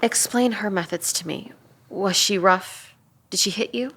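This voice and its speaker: An actress playing a steady female police detective who questions a suspect directly in an audio drama table read. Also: medium pitch, a little slow, gravelly, slightly rough, full character voice. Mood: probing, concerned. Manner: probing, direct.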